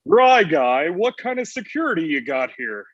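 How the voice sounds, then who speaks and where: Man playing high-pitched, husky, casual Santa Claus. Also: very animated, moderate pace. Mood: laid-back. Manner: casual slangy delivery. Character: laid-back, bro-talking Santa Claus, gruff and easily irritated. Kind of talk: comedy script table read. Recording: dull lo-fi online call audio.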